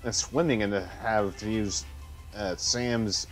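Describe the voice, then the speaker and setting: A man streaming a video game, chatting casually with relaxed, chuckling asides. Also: fairly low pitch, slow. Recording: game music behind.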